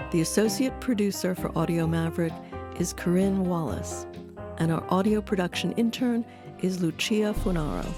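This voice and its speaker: Low-voiced woman documentary narrator. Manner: measured, expressive storytelling. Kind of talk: scripted narration. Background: music.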